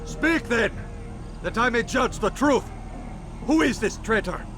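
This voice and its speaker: A man's voice: extremely high pitch, expressive, measured pace, noisy background. An actor playing a haughty, suspicious crusader king in a video game, imperious and commanding. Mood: indignant. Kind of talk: video game cutscene acting.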